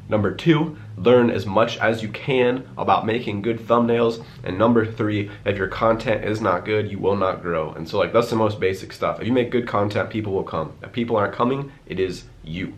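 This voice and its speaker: A young man in his twenties with a stuffy, congested voice, chatting casually to camera and answering viewer questions in a rambling way full of fillers. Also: fairly low pitch. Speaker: YouTuber.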